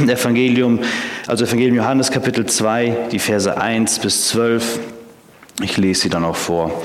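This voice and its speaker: Junger Prediger mit tiefer Stimme in halligem Raum. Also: male voice, fairly low pitch. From Canada. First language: English. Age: 20s. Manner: hesitant, frequent filler sounds. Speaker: theology student preaching. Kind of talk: sermon. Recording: echoey hall.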